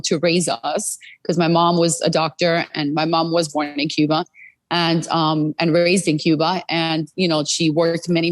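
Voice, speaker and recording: A woman's voice: sits low, no room sound. A middle-aged American woman telling her personal story as an interview guest, earnest and full of "you know" fillers. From United States.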